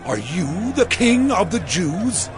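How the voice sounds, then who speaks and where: Casting: exasperated Roman governor, biblical drama. High pitch, deliberate delivery, theatrical emphasis. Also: male voice, big pitch swings, over music, exaggerated voice. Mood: questioning. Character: Pilate, questioning Roman governor, exasperated authority. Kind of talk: dramatized scripture acting.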